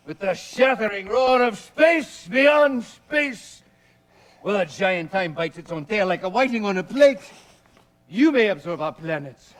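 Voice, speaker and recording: An actor playing a witty, swaggering poet who pretends to be a raving space traveler, talking in a flamboyant, theatrical, grandly rambling way. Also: male voice, very high-pitched. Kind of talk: stage-style period drama acting.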